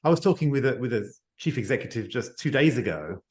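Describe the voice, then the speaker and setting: A man with an accent, heard over a video call in a roomy, echoey room. His pitch sits in an ordinary middle range. He talks earnestly and reflectively, often restarting a phrase. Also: expressive, quick pace, darkish tone. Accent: non-native English speaker living in France. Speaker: guest, CEO advisor and author. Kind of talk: conversational interview. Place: home office near Paris.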